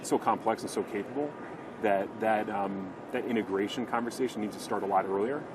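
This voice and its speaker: A man's voice: on the low side, fairly even intonation, fairly quick. A man giving earnest corporate sales talk as a company representative, in an informal business interview at a trade show. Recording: clear show-floor background noise.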